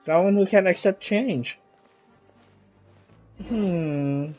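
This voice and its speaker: A man's voice: high-pitched, drawn-out words, warm tone, faint music behind. A man streaming a game, giving casual live commentary in muttered reactions.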